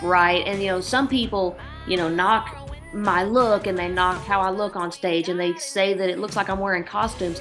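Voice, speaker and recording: A woman in her thirties from Oklahoma with an Oklahoma accent, a country musician answering interview questions in a lively, folksy storytelling way. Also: medium pitch.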